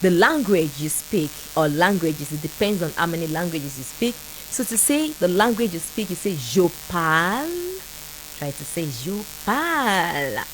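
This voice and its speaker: A woman with a Nigerian accent hosting a call-in radio French lesson, laughing often and slowly repeating phrases for listeners to copy. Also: on the low side, big pitch swings, a little hiss or hum, low-quality recording. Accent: Nigerian English. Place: radio studio.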